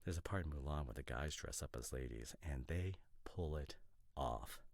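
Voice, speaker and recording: A man playing an opinionated, teasing boyfriend in an ASMR roleplay, chatting casually in a hesitant, rambling way with mock seriousness and a gravelly voice. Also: rumbling low, moderate pace, a little mellow, dry recording, clean studio sound. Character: opinionated, teasing boyfriend debating couple's costume ideas.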